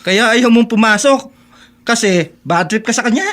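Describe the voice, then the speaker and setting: An actor with a Filipino accent playing a sensible, nagging teenage schoolboy friend in a radio drama. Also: male voice, very high voice, moderate pace, a bit bright. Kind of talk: Tagalog radio drama acting.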